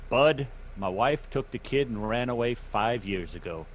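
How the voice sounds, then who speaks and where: An actor playing a blunt, slangy, wary taxi driver in an old-time radio play. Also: male voice, mid-range voice, expressive, measured pace, slight background noise, natural voice. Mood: wary, gruff. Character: gruff, wary working-class male taxi driver.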